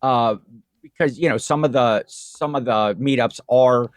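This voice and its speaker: A man's voice: mid-range voice, measured pace, no echo. Rambling podcast co-host man, dry sound. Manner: rambling, restarts with many fillers. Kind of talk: casual chat.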